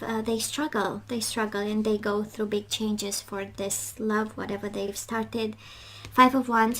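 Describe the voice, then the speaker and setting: A woman giving a casual tarot love reading straight to the viewer, halting and reflective, repeating phrases for emphasis. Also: medium pitch. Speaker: tarot reader.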